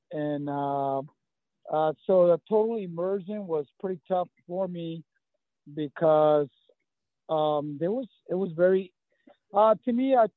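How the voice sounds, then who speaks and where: South Texas man, halting, tinny line. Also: fairly high pitch, no room sound. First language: Spanish. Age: 50s. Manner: halting, many restarts and fillers. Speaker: guest, running coach. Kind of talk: casual interview.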